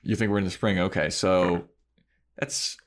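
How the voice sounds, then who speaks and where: A man with a fairly low voice chats as a podcast co-host. He retells a TV episode in loose, slangy language, slipping into paraphrased dialogue as he goes. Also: steady tone, moderate pace. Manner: informal slangy storytelling, acting out dialogue in paraphrase. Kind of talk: casual chat recapping a TV episode.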